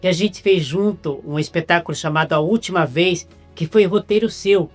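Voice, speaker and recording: A woman's voice: on the low side, normal speed, faint music behind. A woman with a Brazilian accent doing a casual, chatty interview, often repeating words as she searches for the phrase.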